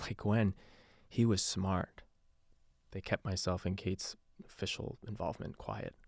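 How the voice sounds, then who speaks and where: Man acting as low-voiced, haunted radio DJ investigator. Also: vocal fry, a little mellow, dry recording, studio quality. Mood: sober, guarded. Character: male radio DJ turned secretive investigator, embittered and haunted. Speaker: radio DJ narrating a taped case file. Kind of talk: confessional podcast drama narration.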